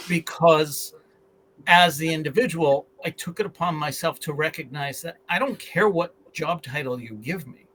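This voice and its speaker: A man's voice: fairly high pitch, normal speed, a bit bright, slight background noise, poor audio. A man on a livestream panel, earnestly telling a story from his career to make his point.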